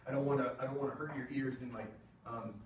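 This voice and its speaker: A man's voice: medium pitch, fairly even intonation, quick pace, highs cut off. A man giving a casual classroom lecture on sound equipment, explaining with plenty of fillers and asides, recorded from across the room. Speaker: music technology presenter. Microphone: room mic from a distance. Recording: echoey classroom from far off, faint hiss.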